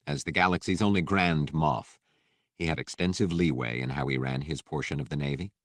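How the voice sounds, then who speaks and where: A man's voice: rumbling low, a little fast, no room sound. A man reading a novel as scripted audiobook narration.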